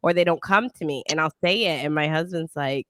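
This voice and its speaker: A woman's voice: sits low, brisk, dry recording, clean studio sound. Blunt, joking woman podcast host. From Detroit. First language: Italian. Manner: blunt, joking, animated storyteller. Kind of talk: casual podcast chat.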